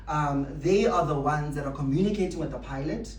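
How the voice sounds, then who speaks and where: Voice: mid-range voice, fairly quick. Distant echoey recording, faint noise, motivational speaker, emphatic, rhetorical, repetitive phrasing. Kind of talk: motivational speech to staff.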